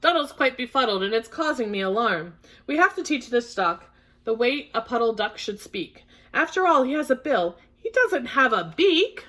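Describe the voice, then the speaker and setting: Lady doing bossy storybook barnyard guy, alarmed n lecturing, kinda high. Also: big pitch swings, moderate pace, bright, forceful tone, full character voice. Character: bossy, alarmed male farm animal, proper. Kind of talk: children's storybook character voice.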